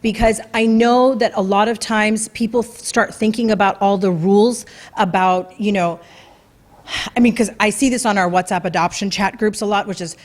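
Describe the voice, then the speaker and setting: A woman's voice: medium pitch, steady tone, normal speed. Earnest woman telling her story. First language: English. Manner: earnest, conversational, many fillers and restarts. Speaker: speaker at a community talk night. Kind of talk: personal story talk. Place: event venue before an audience.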